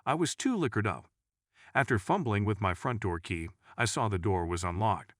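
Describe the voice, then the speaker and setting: A man narrates a scary story in the first person from a script. His voice sits on the low side and moves with plenty of expressive rise and fall. The sound is dry, with hardly any room around it. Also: normal speed, no room sound.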